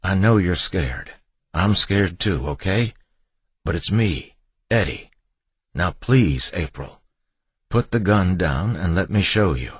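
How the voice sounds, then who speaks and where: A man's voice: low voice, lively intonation, takes it slowly, few pauses, breathy edge, no room sound, voice pushed up, heavily acted. A man voicing a desperate husband in an audiobook, pleading urgently and emotionally with his terrified wife. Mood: gentle, pleading.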